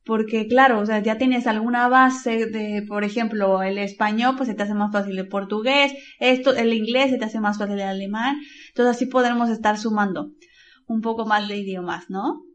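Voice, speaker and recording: A woman interviewer with a slightly raised pitch. She agrees readily with her guest and asks follow-up questions in a friendly way. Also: fairly even intonation, moderate pace. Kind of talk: interview conversation.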